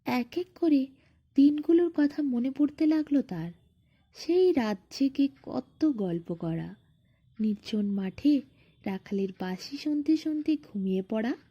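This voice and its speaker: A woman tells a bedtime fairy tale in a high, husky voice. She takes it slowly, phrase by phrase, with dramatic pauses, on a slightly muffled recording. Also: lively intonation. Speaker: storyteller. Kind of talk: expressive scripted bedtime-story narration.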